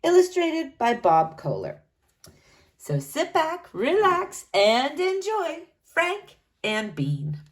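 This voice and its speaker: A woman narrates a children's storybook for young listeners. She takes her time, with a highly animated, slightly high voice. Her delivery is expressive and deliberate. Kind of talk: children's read-aloud narration.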